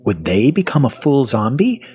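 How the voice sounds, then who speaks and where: A man acts out a sardonic half-zombie survivor narrating his own tale in an audio drama. His voice is husky and moves up and down freely. The delivery is colloquial and cynical. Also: mid-range voice, sing-song, moderate pace, darkish tone. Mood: matter-of-fact, wry. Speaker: voice actor and narrator. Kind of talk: first-person audio fiction narration and dialogue.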